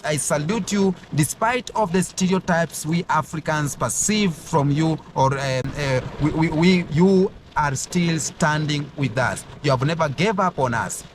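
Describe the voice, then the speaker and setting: Earnest African male commentator, faint noise. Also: medium pitch. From Kenya. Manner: earnest, repetitive, emphatic declarations. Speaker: YouTube commentator. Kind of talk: direct-to-camera commentary. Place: borrowed room, not his usual office.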